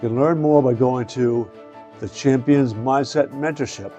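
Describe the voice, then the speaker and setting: An older man speaking as a show host and coach, with an earnest, deliberate way of talking. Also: mid-range voice, mellow, highs cut off, soft background music. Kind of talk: scripted promo and introduction, then casual interviewing.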